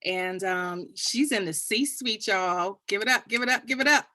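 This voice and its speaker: A woman's voice: medium pitch, measured pace, pushed and bright, no room sound. A woman with a Texas accent moderating a panel discussion, upbeat and cheering on her guests.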